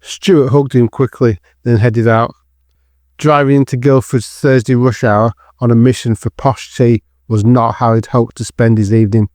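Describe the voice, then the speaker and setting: A man's voice: medium pitch, little pitch movement, normal speed, darkish tone, no room sound. Dry-sounding male narrator of an audio drama. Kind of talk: scripted dramatic story narration.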